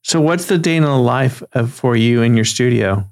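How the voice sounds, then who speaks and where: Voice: medium pitch, normal speed. A podcast host asking questions in a casual, friendly interview, laughing easily and filling in with short replies.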